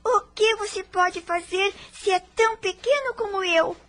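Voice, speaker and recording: A woman's voice: very high-pitched, measured pace, a bit bright. A woman voicing a sad, humble twelve-year-old orphan servant girl in a radio drama, in a plaintive, childlike way. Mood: timid, doubting.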